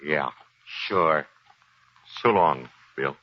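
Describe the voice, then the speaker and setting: An actor playing a gruff, plain-spoken aging retired Marine with a folksy, halting manner in a 1940s radio drama. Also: male voice, very deep voice, very noisy, full character voice. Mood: gruff, distracted. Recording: dull 1940s radio broadcast with music.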